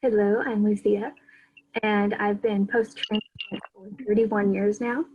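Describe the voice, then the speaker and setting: A woman in her thirties from Argentina, a guest on a casual chat, speaking softly and a little hesitantly. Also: mid-range voice, little pitch movement, a little slow, slightly rough, lo-fi sound. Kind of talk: casual video-call chat. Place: home in Texas.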